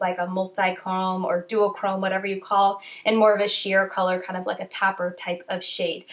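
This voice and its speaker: Chatty woman with a stuffy cold. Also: medium pitch. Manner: chatty, rambling with many "like"s. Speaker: beauty vlogger. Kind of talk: casual makeup review.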